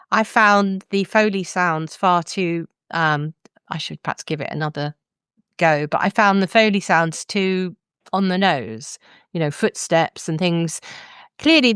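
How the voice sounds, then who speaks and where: Woman, podcast host. Low, clear voice, closely recorded and dry, explaining points in conversation. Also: fairly bright tone, dry recording. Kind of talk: thoughtful podcast book discussion.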